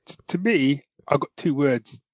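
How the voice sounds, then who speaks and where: A man's voice: fairly high pitch, measured pace, darkish tone, dry recording. A man co-hosting a podcast, chatting casually about geek news in a jokey, slangy, conversational way. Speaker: podcast co-host.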